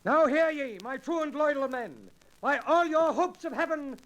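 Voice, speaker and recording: An actor playing a bold, gallant outlaw leader in a 1940s radio drama, ringing and theatrical in archaic speech. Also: male voice, very high voice, a little slow, fairly bright tone, highs cut off. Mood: rousing, urgent.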